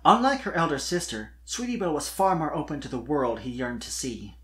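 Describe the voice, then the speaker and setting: Fiction narrator, expressive read, animated intonation, medium pitch, scripted storytelling. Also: fairly quick.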